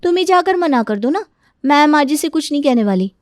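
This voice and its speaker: Young daughter-in-law woman acting, very high voice, sarcastic, grumbling, outspoken, moral story. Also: expressive, fairly quick, darkish tone, no echo, clean studio sound. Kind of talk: acted dialogue in a moral story.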